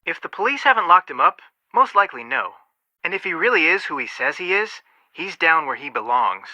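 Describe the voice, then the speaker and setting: An actor playing a cautious, level-headed, skeptical young detective in scripted video game dialogue. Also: male voice, a little high, big pitch swings, fairly quick, pushed and bright, no room sound, exaggerated voice. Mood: wary.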